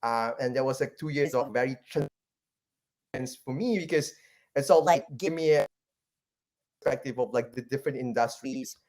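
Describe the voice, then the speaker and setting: A man's voice: mid-range voice, moderate pace, long pauses, darkish tone. A man in his thirties from Malaysia, a podcast guest talking casually about his career and often restarting with fillers. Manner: rambling, many fillers and restarts. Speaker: guest, venture capital partner. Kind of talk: casual interview, life story.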